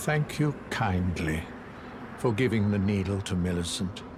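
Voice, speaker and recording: An actor playing an old, gentle, wistful sorcerer in a fantasy game, speaking in formal archaic phrases. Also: male voice, sits low, animated, unhurried, very noisy. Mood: grateful, solemn. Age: older. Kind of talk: dramatic fantasy game acting.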